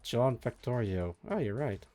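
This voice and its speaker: A sleepy man chatting casually and mumbling to himself on a live stream while he works, with energetic music faintly behind him. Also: medium pitch, unhurried. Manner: soft, meandering, mumbles to himself, trails off. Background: faint energetic soundtrack music.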